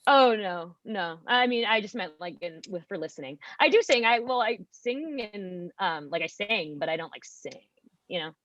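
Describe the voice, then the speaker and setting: A young adult podcast guest chatting casually, rambling with lots of "like" and restarts. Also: female voice, medium pitch, lively intonation, normal speed, fairly bright tone, no room sound. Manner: rambling, heavy use of "like". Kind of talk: casual chat. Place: college radio studio. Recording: dull lo-fi dry sound.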